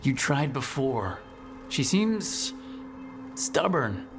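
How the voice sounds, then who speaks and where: An actor playing a confident young hero in a video game, with a casual, wry and reassuring manner. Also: male voice, mid-range voice, sing-song, drawn-out words, music in the background.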